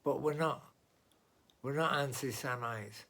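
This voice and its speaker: Slow, gravelly man ranting. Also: mid-range voice, a bit bright. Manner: blunt and opinionated, talks straight to viewers; rambling, with restarts and filler asides. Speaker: vlogger. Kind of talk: unscripted political monologue.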